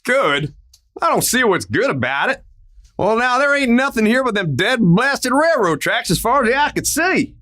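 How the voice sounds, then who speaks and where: A man playing an excitable, folksy old-west sheriff in a radio play, blustery and grumbling, with a twangy American Western drawl. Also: very high-pitched, normal speed, exaggerated voice. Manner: blustery, exclamatory cowboy slang.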